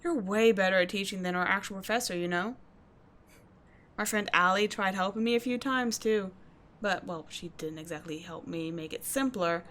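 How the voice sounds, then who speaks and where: A woman playing a tough but kind teenage delinquent schoolgirl in an audio roleplay, talking casually and bluntly to the listener, with swearing and halting, confiding asides. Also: mid-range voice, fairly bright tone, lightly acted. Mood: sincere, grateful.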